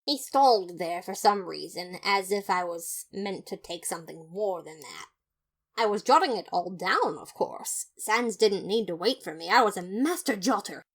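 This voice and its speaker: A woman's voice: mid-range voice, expressive, moderate pace. A woman voicing an earnest, boastful male skeleton detective who narrates his case in a fan audio drama, theatrical and emphatic. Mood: earnest, dramatic. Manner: theatrical, self-satisfied, emphatic.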